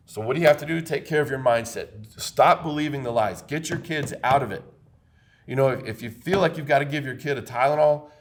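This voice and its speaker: A man, hosting a casual health podcast in an emphatic, preachy way and often repeating himself. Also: medium pitch. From Texas. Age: 50s. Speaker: podcast host, chiropractor.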